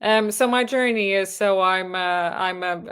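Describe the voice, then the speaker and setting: A woman in her thirties from Portugal, a neurosurgery registrar and lecturer, giving a webinar talk in English with a Portuguese accent, often pausing on fillers and restarting phrases. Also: medium pitch, a bit bright, smooth. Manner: hesitant, many fillers and restarts, earnest.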